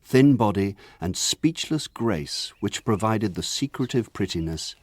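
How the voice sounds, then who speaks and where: Male narrator, low and measured; a grown man recalling country boyhood, reflective and literary radio-drama storytelling. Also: expressive, darkish tone, breathy edge, no echo. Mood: wistful, tender.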